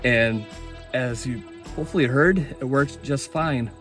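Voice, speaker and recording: A man's voice: mid-range voice, measured pace, fairly bright tone, faint music behind. A man talking casually and enthusiastically in an informal vlog as an amateur radio operator.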